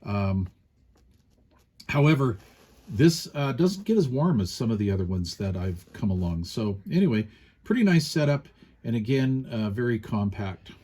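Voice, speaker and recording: A man with a mid-pitched voice talks through a hands-on review as a product reviewer. His delivery is casual and unscripted. He is plain-spoken and folksy, using colloquial turns of phrase. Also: animated, moderate pace, dark tone, slightly rough.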